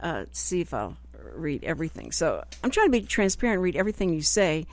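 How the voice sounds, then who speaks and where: A woman hosting a live local radio talk show, chatting in an animated way and often cutting in. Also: low voice, a little fast, dry recording. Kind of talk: casual live radio chat. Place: radio studio.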